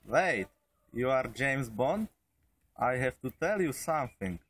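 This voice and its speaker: Young man as Russian crime boss: put-on accent, slow halting lines, amateur camera mic in a park. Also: medium pitch, big pitch swings, fairly bright tone, slight background noise. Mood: menacing. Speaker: amateur film actor. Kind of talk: amateur fan film acting. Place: outdoors in a park.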